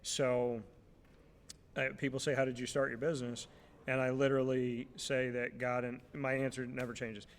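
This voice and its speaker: A man from New York hosting a talk show, telling lively, jokey stories in casual interview chat. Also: mid-range voice, moderate pace, long reverb tail.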